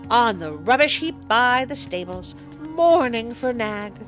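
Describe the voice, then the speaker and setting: A woman's voice: fairly high pitch, animated, measured pace, soft background music, voice pushed up. A woman voicing a cheerful, feather-brained little male songbird in a storybook, exuberant and singsong. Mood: eager, chirpy.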